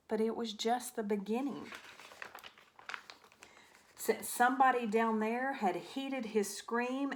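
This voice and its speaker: A woman reading a rhyming children's storybook aloud as an expressive narrator. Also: a little high. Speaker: storybook reader.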